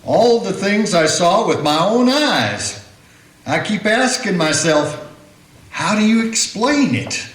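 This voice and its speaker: A man playing an uneasy frontier deputy in a radio play, speaking with rough country grammar. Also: high-pitched, very animated, slow pace, fairly bright tone, exaggerated voice. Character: jumpy frontier deputy, spooked and wondering. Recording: echoey room.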